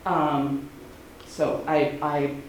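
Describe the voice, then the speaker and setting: A middle-aged woman, speaking earnestly and haltingly at a public meeting. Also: sits low, level tone, a little mellow. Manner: earnest, halting, many ums. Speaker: public commenter. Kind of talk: unscripted public comment. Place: community meeting hall. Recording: very echoey hall, faint noise.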